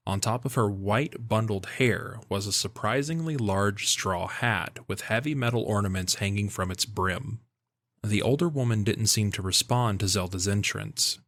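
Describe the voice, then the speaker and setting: A man's voice: lowish voice, normal speed, a bit bright, no room sound. A man reading scripted story narration for an audio drama, in the manner of an audiobook. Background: background music.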